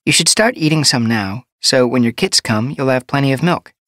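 A woman voicing a calm female medicine cat who talks in a brisk, kindly, matter-of-fact way. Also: rumbling low, no echo, studio quality, full character voice. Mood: soothing.

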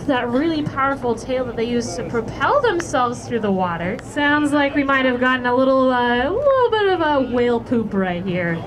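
A woman narrating a whale watching tour, chatty and playful, asking the passengers questions. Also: on the high side. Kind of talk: guided tour commentary over a PA. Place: outdoors on a moving boat at sea. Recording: loud boat engine and wind noise.

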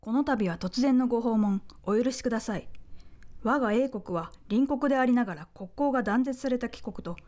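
A woman's voice: on the high side, a little fast, darkish tone, natural voice. A woman playing a formal, courteous envoy of a kingdom in a radio drama. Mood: formal, solemn.

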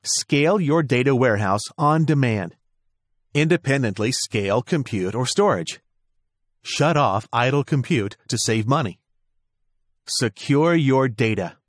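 A man with a mid-range voice reads a scripted product overview. His delivery is smooth and measured, in a polished promotional tone. The recording is dry, like a studio voice-over. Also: medium pitch, expressive, no room sound. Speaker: promotional narrator.

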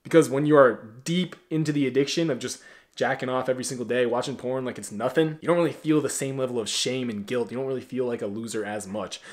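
Young guy vlogging from his bedroom, swears a lot, gets loud then drops low, average pitch. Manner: blunt, profane, animated with big swings in loudness. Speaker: self-improvement coach. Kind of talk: direct-to-camera advice vlog. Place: small bedroom, indoors.

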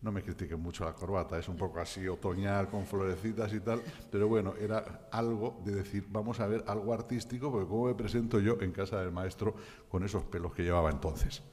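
A man's voice: fairly low pitch, fairly even intonation, fairly quick, a little mellow, long reverb tail. An older man introducing a guest speaker at a panel table, telling a chatty, self-deprecating anecdote. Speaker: event presenter. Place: conference hall at a panel table. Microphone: table microphone.

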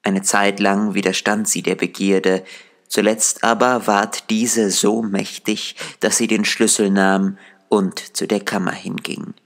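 A man narrating a dark fairy tale as a storyteller, in a measured, deliberate delivery. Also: fairly low pitch, little pitch movement, vocal fry.

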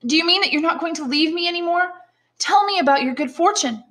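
A woman's voice: extremely high pitch, fast, a bit bright, pitched above the performer's own voice. A woman reading an audiobook as a loving, fretful old father. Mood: questioning. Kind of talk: audiobook storytelling.

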